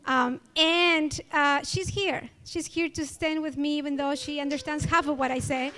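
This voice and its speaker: A woman with an Ecuadorian Spanish accent and a high voice gives an award acceptance speech. She sounds earnest and grateful, and she pauses and uses fillers as she speaks. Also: fairly even intonation, sharp tone. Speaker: award honoree.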